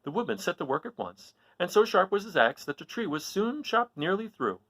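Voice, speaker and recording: Animated male storyteller with swooping pitch. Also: fairly quick, dry recording. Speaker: storyteller reading aloud. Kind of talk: expressive children's story narration.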